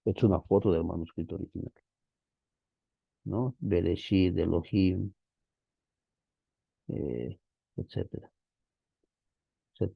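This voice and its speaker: A man teaching an online class, walking through study software on screen while thinking aloud, often tagging his sentences with a questioning "right?". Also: low voice, creaky, breathy edge, no room sound, muffled recording. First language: Spanish. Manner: casual, thinking aloud, frequent "¿no?" tags. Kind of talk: screen-share software walkthrough.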